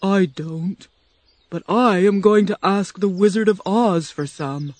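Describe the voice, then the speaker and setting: A woman's voice: mid-range voice, measured pace, darkish tone, no echo, lightly acted. A woman voicing a friendly male scarecrow in a storybook reading, plain and earnest.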